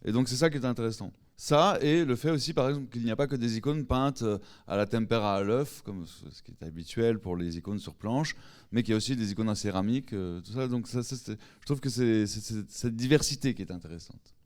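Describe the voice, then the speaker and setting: A man answering as a panel guest, explaining in a measured, hesitant manner. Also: medium pitch, a little mellow.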